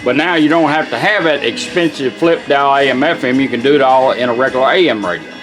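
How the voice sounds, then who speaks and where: A man's voice: medium pitch, fast. A man giving a casual, folksy, plain-spoken demonstration as the presenter of a product. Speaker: presenter demonstrating a car radio. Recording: radio music playing behind. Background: car radio music.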